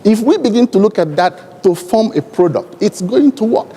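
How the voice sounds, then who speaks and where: A man with a Nigerian accent and Igbo as his native language, speaking deliberately and emphatically in elaborate formal phrases on a conference panel. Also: high-pitched, animated, warm tone, slightly rough. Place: large conference hall. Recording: long hall reverb from conference PA.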